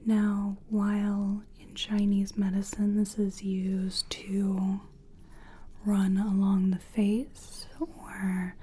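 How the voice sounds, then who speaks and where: A woman giving a soothing, gentle ASMR personal attention roleplay in a breathy whisper, speaking deliberately. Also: medium pitch, completely flat delivery. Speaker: ASMR creator playing a soul-cleansing practitioner.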